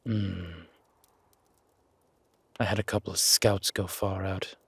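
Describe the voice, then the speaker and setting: A deeply raspy, low-voiced male werewolf, acted out by a man, gruff and protective of his home. He speaks slowly in a narrow, level register with dry, wary restraint. It suits an intimate roleplay audio drama. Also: little pitch movement, no echo, full character voice. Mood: calm, measured. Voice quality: husky, gravelly rasp. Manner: slow, measured, dry, guarded.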